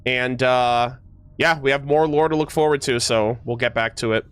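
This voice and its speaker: A man's voice: medium pitch, steady tone, moderate pace, fairly bright tone, smooth, no room sound. A man streaming video games, chatting casually with viewers in informal, teasing gamer slang. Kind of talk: casual livestream chat with viewers.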